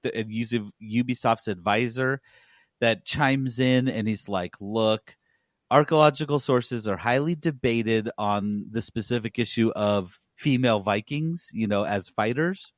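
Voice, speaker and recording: A man's voice: mid-range voice, no echo. Man in dry-sounding casual podcast chat. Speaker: podcast host.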